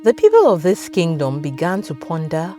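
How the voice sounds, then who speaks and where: A woman with a Nigerian accent narrating a scripted folktale as a storyteller, with dramatic, deliberate phrasing. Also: on the low side, wildly swooping pitch, dark tone, faint music behind.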